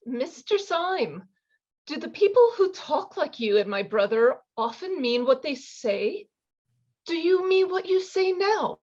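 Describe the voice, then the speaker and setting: A woman's voice: extremely high pitch, expressive, unhurried, a little mellow, lo-fi sound. A woman reading the part of an earnest young lady in a radio play, asking questions politely and hesitantly over a video call. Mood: curious, concerned.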